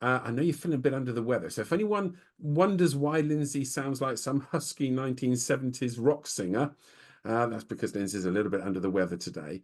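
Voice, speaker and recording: A man's voice: mid-range voice, a little fast, darkish tone. Laid-back guy cohosting a podcast, easygoing chat, thinks as he talks. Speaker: podcast co-host.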